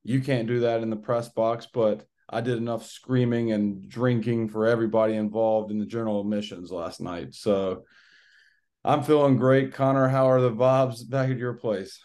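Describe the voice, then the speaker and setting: A hoarse man in his twenties hosting a casual sports podcast, talking in an excited, rambling way. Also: mid-range voice, moderate pace. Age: 20s. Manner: excited, rambling fan talk. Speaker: podcast host.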